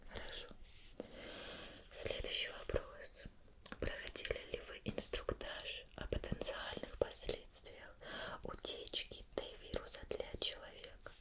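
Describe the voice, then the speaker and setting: A woman acting as a female investigator in an ASMR roleplay interrogation. She speaks entirely in a soft, breathy whisper close to the microphone. Her delivery is deliberate and calm, politely insistent as she questions her suspect. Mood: insistent, probing.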